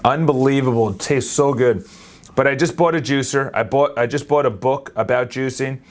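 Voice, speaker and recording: Energetic young man rambling to his phone. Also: mid-range voice, moderate pace. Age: 20s. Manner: energetic, rambling, self-correcting. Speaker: vlogger. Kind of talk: casual talk to camera. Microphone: phone camera.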